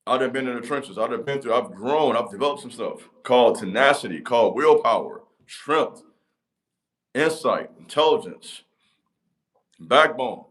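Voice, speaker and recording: A man's voice: lively intonation, moderate pace, a bit bright. A man, giving a motivational talk to camera in an emphatic, repetitive cadence. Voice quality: deep voice. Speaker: channel host.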